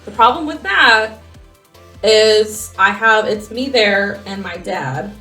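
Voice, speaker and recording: A young woman with a mid-pitched voice chats casually as a podcast co-host, in slangy, bantering storytelling. The room is noticeably echoey, with music faintly in the background. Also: animated, slow pace, bright, forceful tone. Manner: slangy, laughs easily, banters. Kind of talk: casual chat, animated storytelling. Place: small home garage studio. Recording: roomy echo, faint music.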